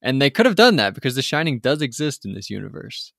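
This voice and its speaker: A man's voice: mid-range voice, big pitch swings, quick pace, creaky, a bit bright, dry recording, studio quality. A man co-hosting a podcast, recapping in a loose, rambling, informal way. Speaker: podcast co-host. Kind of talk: casual film and TV recap chat.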